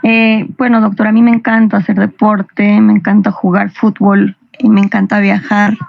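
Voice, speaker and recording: A woman student with a hoarse voice from the flu, answering her teacher in an online class. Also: mid-range voice, flat delivery, normal speed. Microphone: video call. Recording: muffled video call audio.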